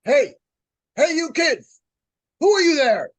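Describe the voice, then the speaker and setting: An actor playing a cranky man who loudly scolds children in broken grammar in an old-time radio suspense drama. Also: very high voice, very animated, slow pace, dry recording, heavily acted. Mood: angry, scolding.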